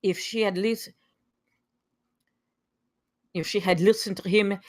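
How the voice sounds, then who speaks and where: A woman's voice: medium pitch, fairly even intonation, slow, no room sound. Woman playing a proud noblewoman, dry sound. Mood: cold. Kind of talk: live table read of a screenplay.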